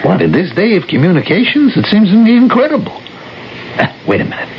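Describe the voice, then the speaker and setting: A man's voice: high voice, wildly swooping pitch, quick pace, full character voice. An actor playing an argumentative, stubborn married man in a radio drama, emphatic and wry. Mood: eager, coaxing. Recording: muffled, noisy 1970s radio recording.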